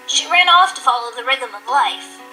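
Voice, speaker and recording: A woman playing a young female griffin in a cartoon-style audio drama, tough and guarded but soft-hearted underneath. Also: sits high, expressive, moderate pace, poor audio. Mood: guarded, hesitant. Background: music.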